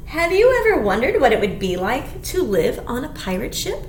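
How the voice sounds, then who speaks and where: A woman's voice: high voice, normal speed. A middle-aged woman leading a children's storytime, reading aloud expressively as if to young listeners. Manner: expressive, clearly addressing young children, with simple questions. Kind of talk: animated children's picture-book read-aloud. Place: small indoor room. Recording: echoey small room, background hiss.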